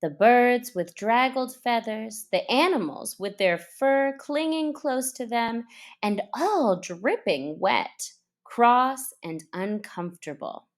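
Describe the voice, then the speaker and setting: A woman narrates a children's story in a high voice. Her reading is very slow and deliberate, with highly animated, expressive intonation. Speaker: storybook reader.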